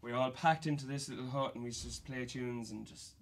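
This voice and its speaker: A man's voice: medium pitch, steady tone, a little fast. A man with an Irish accent, a musician chatting casually in an interview. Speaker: band musician being interviewed. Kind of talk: casual chat.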